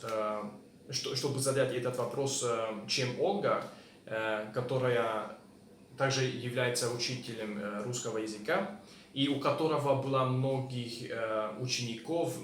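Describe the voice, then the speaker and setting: A man's voice: mid-range voice, fairly bright tone, roomy sound, slight background noise. A man, not a native speaker, hosting a casual interview and speaking with frequent hesitant fillers. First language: not Russian. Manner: hesitant, many fillers between words. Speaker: host, language learner.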